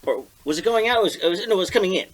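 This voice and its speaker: An American man talks as a podcast co-host in a loose, conversational way. His voice sits a little high and moves around a lot in pitch, as in casual chat over a drink. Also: expressive, racing, poor audio.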